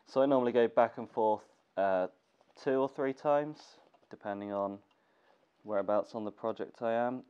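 Congested low-voiced man in a workshop. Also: moderate pace, clear, resonant tone. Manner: relaxed, thinking aloud with fillers. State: congested from a cold. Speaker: gear maker teaching sewing. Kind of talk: casual tutorial narration. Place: workshop with sewing machine. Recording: dull lo-fi camera audio with faint noise.

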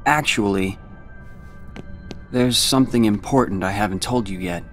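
A man acting a brooding, loyal teenage boy warrior in a video game cutscene, speaking in a measured, earnest way. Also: on the low side, lightly acted. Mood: calm, sincere. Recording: game music underneath.

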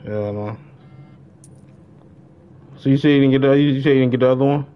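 A man's voice: mid-range voice, fairly quick, clean tone. A young man chatting casually on a gaming livestream, laid-back and slangy, repeating his phrases. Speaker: live-streamer. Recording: dull, slightly noisy mic.

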